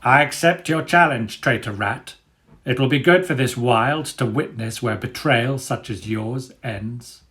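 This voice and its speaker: A man's voice: mid-range voice, a little slow, a bit bright, lightly acted. A man voicing a cruel, menacing war dog who barks scornful orders in a storybook reading. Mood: cold menace. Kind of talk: dramatic storybook character reading.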